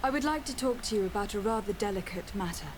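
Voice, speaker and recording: A composed young noblewoman from a fantasy game, voiced by an actress, speaks with formal courtesy. Her medium-pitched voice is diplomatic and measured, set against clearly audible background noise. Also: lively intonation, close to an everyday voice. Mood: courteous, earnest. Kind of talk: scripted video game dialogue.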